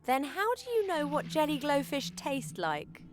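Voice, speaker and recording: A curt, sarcastic adventurer woman from a game, her voice set fairly high. She delivers her remarks dryly and briefly, plainly unimpressed. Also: huge pitch swings, unhurried, soft background music, full character voice. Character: dry, sarcastic female elf adventurer. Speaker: game character.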